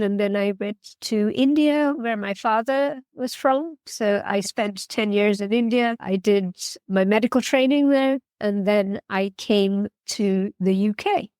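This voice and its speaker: A woman's voice: mid-range voice, a little mellow, clear, resonant tone, dry recording. Dry-voiced woman surgeon explaining plainly. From Guyana. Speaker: orthopedic surgeon guest. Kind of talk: interview answers.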